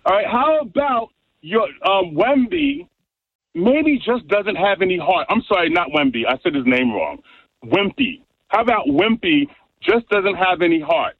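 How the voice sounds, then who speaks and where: A man with a New York accent calling in to a sports radio show and boasting loudly about his team. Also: sits high, very animated, measured pace, slightly rough, no echo. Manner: boastful, emphatic trash talk. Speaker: radio caller. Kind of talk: call-in sports rant. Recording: tinny dry phone line.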